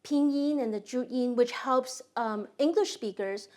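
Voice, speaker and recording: A woman's voice: on the high side, a little slow, darkish tone. A woman, a guest on a talk show, explaining her language school earnestly and often agreeing with "right, exactly". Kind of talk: casual interview conversation.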